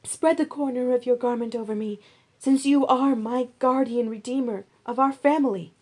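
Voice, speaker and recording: A woman's voice: a little high, normal speed, a little mellow, pitched above the performer's own voice, natural voice. A woman voicing a humble, grateful young widow in a Bible story, deferential and earnest.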